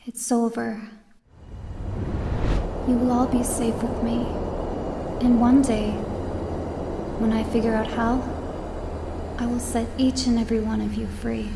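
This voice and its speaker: A woman's voice: fairly high pitch, level tone, unhurried, vocal fry, long pauses. An actress playing a determined, protective woman with a dangerous power inside her, speaking earnestly in a video game cutscene. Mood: solemn resolve. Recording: long reverb with faint background noise.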